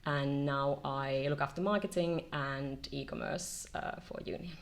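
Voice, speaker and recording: A middle-aged woman from Finland, a company director being interviewed, speaking in a measured way with many "um"s between phrases. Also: very deep voice, fairly even intonation, gravelly. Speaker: marketing and e-commerce director, guest. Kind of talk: casual interview.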